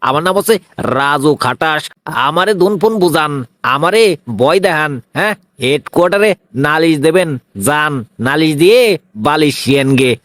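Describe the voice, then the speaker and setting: Man playing a brash, high-pitched bullying police inspector. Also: normal speed, fairly bright tone, no room sound, natural voice. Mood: menacing. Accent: Bangladeshi regional Bengali. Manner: blunt, taunting, threatening. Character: brash, bullying male police inspector, tough and self-righteous. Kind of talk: comic cartoon dialogue.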